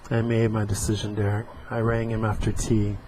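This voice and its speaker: A man reading aloud as a grieving widow speaking to her dead husband, quiet and plain. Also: on the low side, monotone, a little fast, a little hiss or hum, lightly acted. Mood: bleak.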